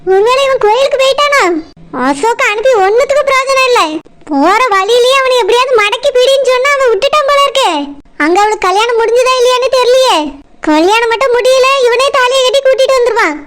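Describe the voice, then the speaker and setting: A woman voicing a chatty village cartoon story, taking several roles in a lively way. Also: very high-pitched, quick pace, heavy background noise. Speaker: cartoon narrator and voice actor.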